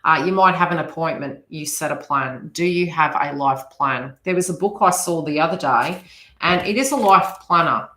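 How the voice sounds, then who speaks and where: A woman's voice: deep voice, normal speed, bright, forceful tone. A woman with a slight New Zealand accent chatting casually and ramblingly to live viewers as she gives spiritual advice. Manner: rambling, conversational asides to viewers. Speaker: host, psychic reader chatting with live viewers. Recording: roomy, echoey sound.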